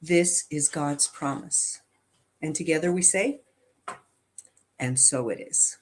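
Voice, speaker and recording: A woman giving an inspirational reading aloud to a congregation at a spiritual service, with deliberate, measured phrasing. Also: low voice, a little hiss or hum.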